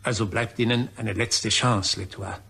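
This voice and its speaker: A man's voice: fairly low pitch, fairly even intonation, moderate pace, gravelly, bright, forceful tone, slightly rough, heavily acted. An actor playing a stern, self-righteous office man in a crime radio play, speaking formally and insistently.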